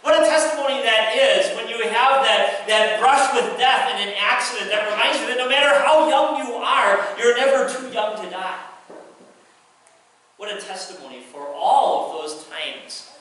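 A man's voice: extremely high pitch, moderate pace, pushed and bright. A young man preaching a sermon from a church pulpit, earnest and repetitive in his emphasis. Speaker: pastor. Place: large church sanctuary. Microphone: pulpit microphone, filmed from far below. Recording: very echoey church from far off.

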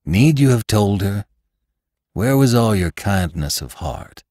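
A man's voice: on the low side, big pitch swings, takes it slowly, warm tone, dry recording, studio quality, heavily acted. A man doing a grieving, reproachful young man. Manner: earnest, reproachful.

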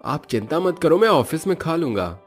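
A man voicing a hurried, polite office-going son and husband in a cartoon, speaking urgently. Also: a little high, very animated, faint music behind, voice pushed up.

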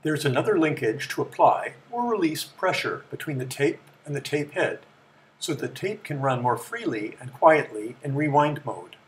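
A middle-aged man giving a measured, matter-of-fact scripted explanation as the presenter of a technical tour. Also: a little high, very animated. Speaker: presenter of a vintage electronics tour.

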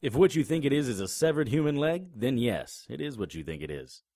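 An actor playing a wisecracking, unflappable paranormal investigator in an audio drama, with a dry, sarcastic delivery. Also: male voice, medium pitch, big pitch swings, a little fast, mellow, no echo. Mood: wry, alert. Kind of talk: audio drama dialogue and first-person noir narration.

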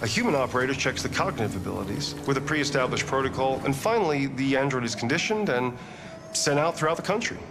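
Confident male tech founder, measured game-cinematic interview delivery by a male actor, animated intonation, clear background noise. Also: mid-range voice, natural voice. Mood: confident, explanatory. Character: self-assured male tech founder giving a tour. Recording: clear ambient noise behind voice.

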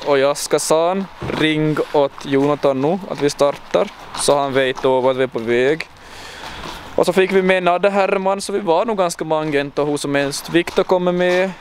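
A man's voice: fairly high pitch, a little hiss or hum. Young man vlogging with a handheld camera. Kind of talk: casual vlog chat.

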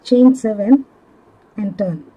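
A woman giving step-by-step craft instructions in a measured, halting manner, as a tutorial instructor. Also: mid-range voice, dark tone, husky, rough recording. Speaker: crochet instructor.

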